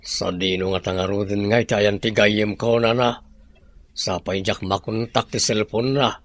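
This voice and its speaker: A man's voice: lowish voice, steady tone, moderate pace, a bit bright, natural voice. A man acting an earnest young man, a worried friend, in an Ilocano radio-style drama. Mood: concerned.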